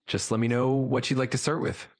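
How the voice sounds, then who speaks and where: A man's voice: medium pitch, fairly even intonation, brisk, dry recording, studio quality. A man's synthetic voice speaking as a polite, eager AI companion, in a helpful and slightly formal assistant style. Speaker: AI companion on a panel.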